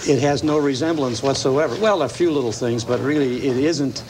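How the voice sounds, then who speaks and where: An American man giving a casual, halting, explanatory movie review as a guest on a morning TV show. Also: mid-range voice. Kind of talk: casual interview commentary. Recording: slightly noisy TV broadcast recording.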